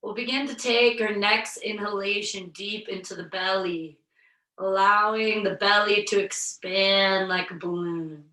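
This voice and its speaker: A woman yoga instructor with a slight head cold, guiding a virtual class through breathing in a slow, soothing way. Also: mid-range voice, steady tone, pushed and bright, reverberant, recorded from a distance, rough recording.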